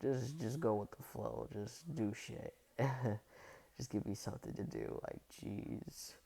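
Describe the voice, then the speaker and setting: Sick, hoarse young man chatting slowly. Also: medium pitch, dark tone. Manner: rambling, crude slang, laughs and coughs often. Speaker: YouTube voice creator. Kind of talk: casual vlog chat.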